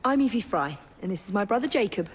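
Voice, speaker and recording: An actress playing a keen, poised young Victorian woman in a video game, polite and crisp. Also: mid-range voice, expressive, fast talker, long reverb tail, close to an everyday voice. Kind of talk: video game cutscene acting. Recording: music under the voice.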